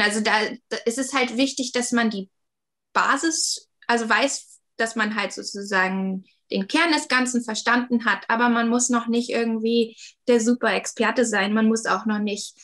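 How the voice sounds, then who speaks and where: A woman's voice: on the high side, fairly bright tone. A woman in her thirties, a 3D artist talking casually in an interview, with lots of fillers and hedges. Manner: chatty, many fillers and hedges.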